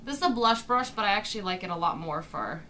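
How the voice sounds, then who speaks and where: A young woman, slightly hoarse from a sore throat, talks casually through a makeup tutorial. She explains each step as she applies it. The sound comes from a webcam in her bedroom. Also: mid-range voice, lively intonation, fast talker, sharp tone, slight background noise. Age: young adult. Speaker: beauty vlogger. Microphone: webcam at desk distance.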